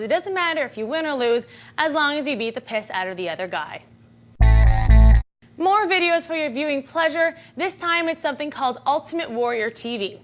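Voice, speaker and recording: A woman's voice: high voice, pushed and bright. A woman hosting an online entertainment and sports news show, reading scripted items in a brash, sarcastic, slangy manner.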